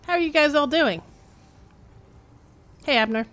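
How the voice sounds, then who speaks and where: A woman's voice: sits high, unhurried, fairly bright tone. A woman giving casual, playful live commentary as a game streamer, with wry asides.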